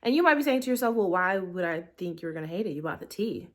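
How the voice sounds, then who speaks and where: A woman's voice: lowish voice, lively intonation, fast talker. A young woman with a blocked-up, slightly nasal voice, chatting to her camera at home. She rambles loosely, hedging with ums and likes as she talks through a tea review. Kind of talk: casual review vlog. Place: living room at home. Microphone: camera in portrait mode.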